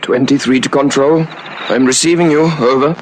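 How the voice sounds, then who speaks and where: A man's voice: mid-range voice, fairly even intonation, normal speed, close to an everyday voice. An actor playing a wounded, dogged policeman in an old radio drama, with a strained, pained delivery. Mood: strained, dutiful. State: in pain, straining. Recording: slightly noisy vintage radio drama recording.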